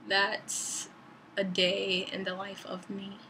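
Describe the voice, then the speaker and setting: Young woman, vlogger, slow deliberate reflective talk, bright tone, dry raspy voice. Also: medium pitch, monotone, a little hiss or hum, low-quality recording. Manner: thoughtful, slow and deliberate, musing on faith. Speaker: vlogger and author. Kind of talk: reflective personal vlog.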